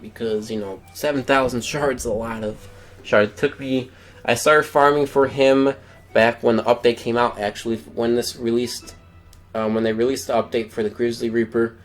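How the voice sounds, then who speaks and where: Young male let's-player, mid-pitched, rambling casual commentary, lots of "um"s. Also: fairly even intonation, normal speed, soft background music. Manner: rambling, many "um" fillers. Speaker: gameplay commentator.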